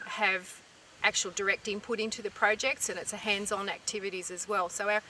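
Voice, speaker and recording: A woman's voice: mid-range voice, pushed and bright. A middle-aged woman with an Australian accent, earnestly explaining as a farming group representative in an interview. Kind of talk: informative interview talk. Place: outdoors in open dry farmland. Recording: distant mic, outdoor noise.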